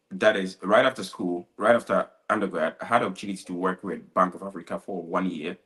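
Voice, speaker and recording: A young man with a Ghanaian accent speaks as a guest in an interview. His voice is low. He answers in a casual, conversational style. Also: fairly bright tone, rough recording. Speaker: interview guest, student abroad.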